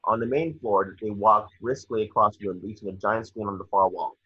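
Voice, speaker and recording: A man's voice: on the low side, a little fast, slightly rough. A man narrating scripted action descriptions at an amateur table read, on a slightly dull home recording. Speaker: reader of stage directions.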